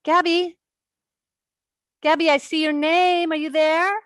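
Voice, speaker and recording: Actress as a high-voiced, patient woman therapist. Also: little pitch movement, measured pace, fairly bright tone, no room sound, clean studio sound. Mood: attentive, reassuring. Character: attentive, patient woman therapist checking on clients. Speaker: actor in a staged play reading. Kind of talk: naturalistic dramatic dialogue, read online.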